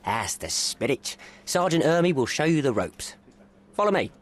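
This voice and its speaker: Wry, chatty desk cop, animated delivery. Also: male voice, fairly high pitch, moderate pace. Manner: wry, talkative. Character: chatty overworked police desk officer. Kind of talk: video game voice acting.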